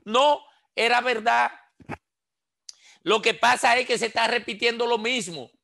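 A man's voice: very high-pitched, measured pace, vocal fry, pushed and bright. A man in his sixties with a Dominican accent, giving impassioned political commentary over a headset on a video call, full of rhetorical questions. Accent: Dominican Spanish. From Dominican Republic. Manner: emphatic, rhetorical questions, gestures while talking. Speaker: political commentator on a radio panel. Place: small indoor room.

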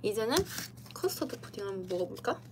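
A woman's voice: mid-range voice, animated, fast, heavy background noise. A young woman casually chatting with viewers on a livestream from indoors, playful and giggly, replying to comments. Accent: Korean dialect. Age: young adult. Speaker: idol streamer. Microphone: computer livestream mic.

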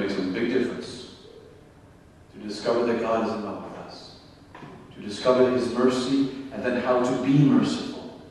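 A man in his thirties preaches from a church pulpit with a fairly high voice, swinging from raised, emphatic questions to low, quiet asides with touches of humor. His voice rings through a large, reverberant sanctuary with a long echo tail. The mic sits some distance off, so he sounds removed. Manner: animated, gesturing widely, sweeping pitch swings from high exclamations to low asides. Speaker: priest and mission preacher. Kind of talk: parish mission announcement sermon. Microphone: small headset mic. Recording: echoey church from far off.

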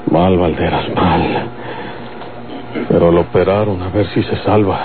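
An actor playing a grave, measured bandit leader with a Mexican accent in an old radio drama. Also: male voice, sits low, steady tone, phone-quality sound, music in the background. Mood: grave, restrained. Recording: thin old radio recording with mains hum.

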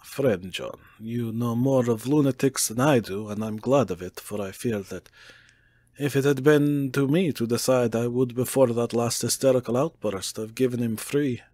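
A man plays a grave older male scholar who speaks in a low, measured, formal way. The recording is dry and close. He sounds steady and deliberate. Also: no echo, natural voice.

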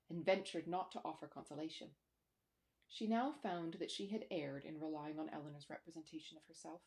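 A woman in her forties reading literary fiction aloud in a measured, formal storytelling manner. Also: on the low side, darkish tone. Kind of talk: audiobook-style literary narration. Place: small room at home by a window.

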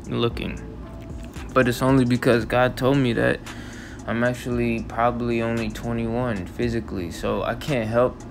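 A man in his twenties talks in a meandering, repetitive way, restarting sentences as he goes. His mid-range voice has a gravelly creak to it. Faint music plays quietly behind him. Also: mid-range voice. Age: 20s. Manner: meandering, repeats phrases, frequent restarts. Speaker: religious vlogger. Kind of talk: rambling monologue over still images. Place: voice-over, not in pictured scene.

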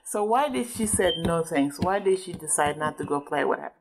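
A woman's voice: fairly low pitch, expressive, normal speed, a little hiss or hum. A woman doing a teaching read-aloud, patiently explaining and often checking understanding with questions. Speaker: adult reading a book with a child.

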